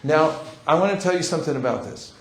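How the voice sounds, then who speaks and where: A man's voice: a little high, lively intonation, fast. A man leading a live seminar, teaching with a playful manner and acting out short dialogues. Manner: playful, acts out little dialogues. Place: large hall. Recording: roomy hall sound.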